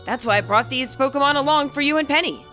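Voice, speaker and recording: A woman's voice: high voice, fast talker, bright, forceful tone, soft background music. A woman voicing a confident young male champion, a showy big brother giving declamatory encouragement in a game story. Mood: proud, encouraging.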